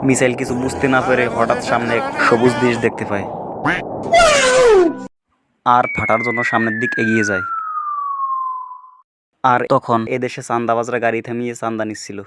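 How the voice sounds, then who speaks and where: A man's voice: medium pitch, flat delivery, normal speed, pauses often. A man with a Bangladeshi accent reads scripted comic narration for a cartoon. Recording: very noisy track with clicks.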